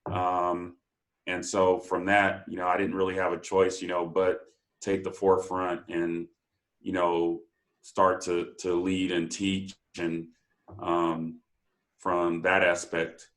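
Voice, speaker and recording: Brief: very low, monotone man, shy and halting, easygoing group participant. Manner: hesitant, many fillers, easygoing. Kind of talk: casual group discussion.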